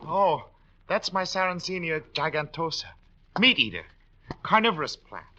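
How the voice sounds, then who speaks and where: An actor playing a wasted, morbid invalid obsessed with poisons, lecturing with theatrical relish in 1940s radio style. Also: male voice, fairly high pitch, very animated, moderate pace, bright, forceful tone, a little hiss or hum, full character voice. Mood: macabre relish. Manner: theatrical, eager, relishing his macabre lectures. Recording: dull, lo-fi 1940s radio recording.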